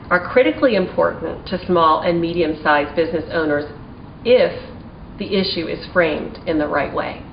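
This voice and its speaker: Dull roomy recording, American woman, forties, earnest formal advocacy speech, deliberate delivery, faint noise. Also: lowish voice, distant mic. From United States (Maryland), partly raised in Taiwan and China. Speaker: small business owner giving testimony. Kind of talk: prepared advocacy testimony speech.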